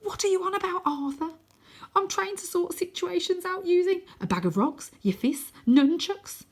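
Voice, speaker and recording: A woman voicing a bossy, excitable and reckless boy sidekick in a storybook, full of exclamations. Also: very high voice, moderate pace, voice pushed up. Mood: scornful.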